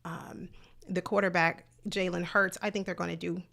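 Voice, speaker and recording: Female host, live-stream sports talk, slightly hoarse, lowish voice, chatty with viewers. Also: level tone, normal speed, a bit bright. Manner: chatty, greets viewers in the chat by name.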